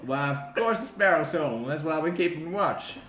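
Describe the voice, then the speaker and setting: A man's voice: a little high, lively intonation, moderate pace, fairly bright tone, reverberant, slight background noise, pitched above the performer's own voice. A man voicing a dim, good-natured older male city guard with a drawling delivery. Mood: easygoing.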